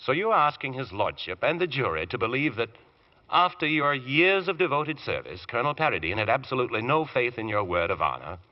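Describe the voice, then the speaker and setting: An actor playing a relentless barrister in an old radio drama, questioning in a clipped, insistent, accusatory way. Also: male voice, mid-range voice, very animated, a little fast, natural voice. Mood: accusing, forceful. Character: relentless male defence barrister grilling a witness. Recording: dull lo-fi old radio recording.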